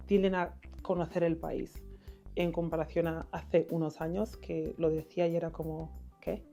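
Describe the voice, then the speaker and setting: A woman's voice: sits low, flat delivery, normal speed, creaky, dark tone. A woman from Equatorial Guinea explains her view as a documentary interviewee. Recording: music under the voice.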